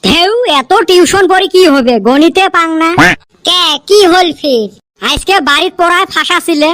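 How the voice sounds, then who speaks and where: A woman's voice: very high-pitched, pushed and bright, no echo. A woman voicing a lazy, wisecracking village schoolboy in a comedy cartoon, with a western Assam accent. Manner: wisecracking, grumbling.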